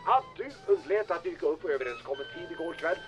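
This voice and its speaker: A man's voice: on the high side, huge pitch swings, soft background music. A man acting an imperious, hot-tempered baron in an audio drama, with a demanding, scolding manner. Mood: furious.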